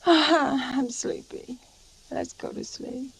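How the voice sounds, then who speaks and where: A woman's voice: high voice, drawn-out words, background noise, full character voice. An actress playing an anxious, secretive young wife losing her mind in a suspense radio drama, with a dramatic delivery that drops toward whispers. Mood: weary, evasive. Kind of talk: vintage suspense radio drama acting, first-person narration.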